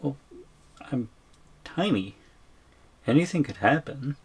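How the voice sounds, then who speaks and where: A man playing a tiny, nervous boyfriend held by a giantess in an ASMR audio roleplay, hesitant and gently protesting. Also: medium pitch, expressive, slow, slightly rough, exaggerated voice. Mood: nervous, accusing.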